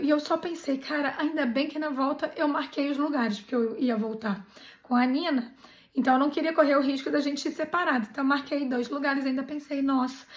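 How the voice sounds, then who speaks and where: High-voiced Brazilian woman telling a story. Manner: animated, indignant retelling with many restarts. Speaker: travel channel host. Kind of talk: personal storytelling vlog.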